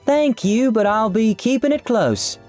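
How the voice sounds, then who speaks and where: Give this story a sweetly smug Southern saleswoman, acted by a man. The voice is high, light and feminine, with a swooping lilt over faint music. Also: animated, a little slow, darkish tone. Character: gushing Southern lady oil seller, sweetly smug, role-played.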